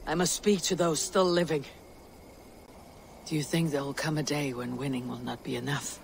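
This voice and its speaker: A man playing a stoic, steadfast Viking warrior leader in a video game, speaking in a measured, solemn way. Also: fairly high pitch, steady tone, creaky, a little hiss or hum. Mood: calm, grave. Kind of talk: dramatic video game cutscene acting.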